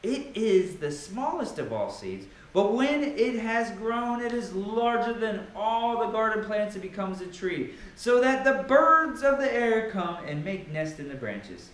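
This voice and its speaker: In a heavily reverberant room with a faint noise floor, a man reads scripture aloud. His fairly high voice swings widely in pitch, and he delivers the verses theatrically, with emphatic pauses. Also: sing-song, a bit bright. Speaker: Bible reader. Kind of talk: dramatic scripture reading with informal asides.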